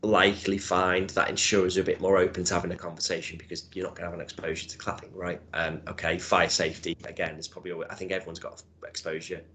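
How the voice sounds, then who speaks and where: A man's voice: deep voice, flat delivery, quick pace, hardly any pauses, fairly bright tone, a little hiss or hum. A man with a Scouse accent giving a webinar presentation as an insurance broker, explaining in a conversational way with frequent hedges and "right?" checks.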